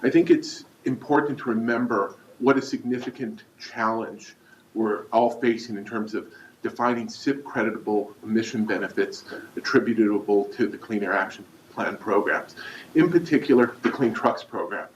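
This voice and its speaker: A man representing an industry group, giving a measured, argumentative public comment at a meeting. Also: fairly low pitch, slight background noise. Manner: measured, policy-minded, argumentative. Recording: roomy, distant, dull hall mic.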